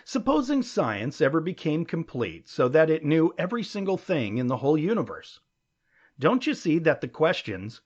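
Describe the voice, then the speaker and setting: A man with a fairly high voice narrates an audiobook essay, his pitch moving in lively sweeps. He explains step by step in measured, emphatic phrases. The recording is dull and lo-fi.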